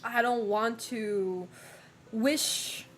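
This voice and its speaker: A woman's voice: on the high side, extremely slow. Young woman streamer, casual and frank, lots of laughs and fillers, faint music under her. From United States and Japan. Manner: chatty, frank, laughs often, many fillers. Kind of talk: casual chat reading viewer messages.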